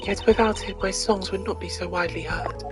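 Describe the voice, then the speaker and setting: A woman's voice: mid-range voice, fairly even intonation, fairly quick, natural voice. Wistful woman singer for a game amateur female voice actor: composed, reflective, formal phrasing, music in the background. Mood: serene, gracious. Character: serene, wistful foreign woman singer, mysterious and gracious. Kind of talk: amateur video game character voice-over.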